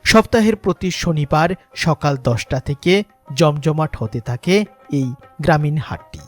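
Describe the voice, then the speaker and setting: A Bangladeshi man reading scripted, sentimental documentary narration in a measured, lyrical way. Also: fairly high pitch, animated. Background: background music.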